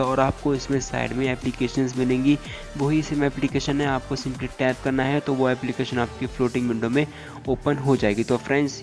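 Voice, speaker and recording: A man's voice: mid-range voice, a little mellow. A man giving a step-by-step phone tutorial as a YouTuber, repeating instructional phrases and mixing in English tech terms.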